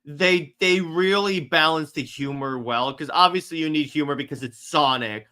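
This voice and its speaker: A man's voice: a little high, moderate pace, pushed and bright, clean tone. A man co-hosting a podcast, chatting casually about a movie and often chiming in to agree. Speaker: podcast co-host.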